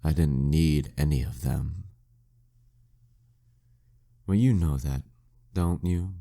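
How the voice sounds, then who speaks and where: A man's voice: rumbling low, vocal fry, mellow, exaggerated voice. A man playing a flirty, dominant demon king villain in an intimate audio roleplay, teasing and smug in manner. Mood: possessive, seductive. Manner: teasing, smug, slow deliberate delivery.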